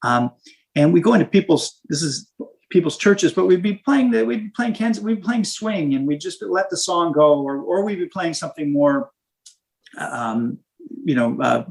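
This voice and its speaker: A man who is a minister and saxophonist, talking in an interview in a halting way, restarting often as he searches for words. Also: on the high side, expressive, gravelly, rough recording. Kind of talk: casual interview conversation.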